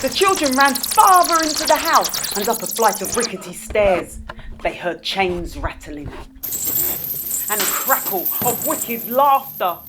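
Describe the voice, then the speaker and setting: A woman narrating a children's story as a storyteller, with dramatic, expressive delivery. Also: a little high, a little slow, heavy background noise. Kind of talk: children's read-aloud narration.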